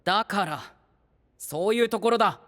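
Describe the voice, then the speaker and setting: A male voice actor playing a proper, earnest young gentleman in an anime-style audio drama, formal and given to English exclamations. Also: sits high, normal speed, no echo, studio quality. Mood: apologetic, earnest.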